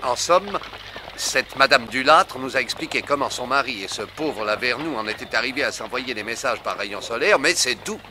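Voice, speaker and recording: An actor playing a detective's sober gentleman companion and narrator in a French radio mystery, speaking in a measured way. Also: mid-range voice, animated, background noise, lightly acted. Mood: intent.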